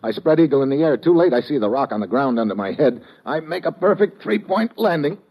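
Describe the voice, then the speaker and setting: A man's voice: on the high side, lively intonation, a little fast, noisy background. An actor playing a tough, wry undercover agent who narrates his adventure tersely in 1950s radio style. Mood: tense, terse. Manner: terse, hard-boiled, wry. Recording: dull vintage radio recording, music underneath.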